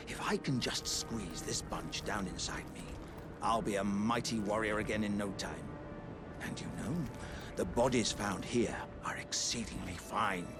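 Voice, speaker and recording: An actor voicing a friendly, humble living jar warrior in a fantasy game, hesitant and earnest. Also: male voice, on the low side, natural voice. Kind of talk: fantasy game character dialogue. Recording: music playing behind the voice.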